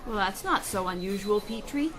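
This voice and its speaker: A woman's voice: medium pitch, animated, normal speed, a bit bright, lightly acted, rough recording. A woman in a radio mystery playing a poised, skeptical confidante of a sleuth. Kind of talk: radio mystery drama acting. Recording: chattering crowd behind.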